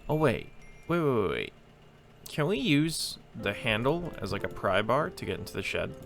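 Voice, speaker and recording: Man puzzling aloud, pitch swinging about. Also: huge pitch swings, moderate pace, gravelly, a bit bright. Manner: hesitant, rambling, puzzling aloud. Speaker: host of a gameplay channel. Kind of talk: casual let's play commentary, thinking aloud.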